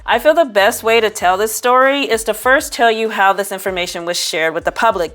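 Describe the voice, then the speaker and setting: A woman hosting an online commentary, reading out posts and text messages in a measured, explanatory way. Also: medium pitch, a bit bright. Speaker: YouTube commentary host. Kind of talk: scripted commentary, reading posts and texts aloud.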